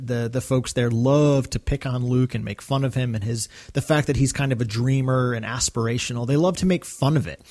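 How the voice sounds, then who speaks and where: A man's voice: mid-range voice, a little mellow. A man co-hosting a podcast, recapping casually with a fan's enthusiasm. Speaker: podcast co-host.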